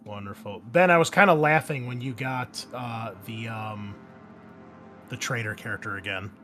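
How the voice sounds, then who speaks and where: A man hosting as game master, explaining things casually and informally with a halting delivery. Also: medium pitch, music in the background. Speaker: game master and podcast host. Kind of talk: casual explanation and chat on an actual-play podcast. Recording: faint background noise.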